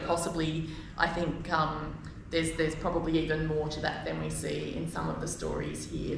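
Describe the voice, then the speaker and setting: A woman moderating a live panel at a book launch, phrasing thoughtful questions in a tentative, measured way. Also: sits low, creaky. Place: live event in an echoey room. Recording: echoey room, distant mic, noisy background.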